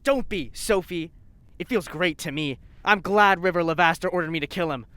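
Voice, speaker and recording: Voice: mid-range voice, normal speed, a bit bright, no echo, lightly acted. An actor playing a zealous, spiteful scientist in an audio drama, bitter, sarcastic and insistent. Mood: defensive gloating. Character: zealous, spiteful lab scientist named Colin. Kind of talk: scripted audio drama acting.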